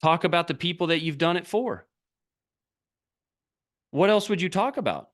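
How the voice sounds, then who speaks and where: A man's voice: fairly high pitch, lively intonation, moderate pace, fairly bright tone. A middle-aged man coaching a group over a video call, talking bluntly in short, punchy, repeated sentences. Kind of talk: motivational talk on a live video call.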